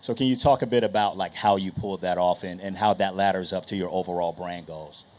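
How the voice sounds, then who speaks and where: A man's voice: deep voice, steady tone, a little fast, darkish tone. A man moderating a panel and putting questions to guests in a conversational style. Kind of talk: conversational interviewing.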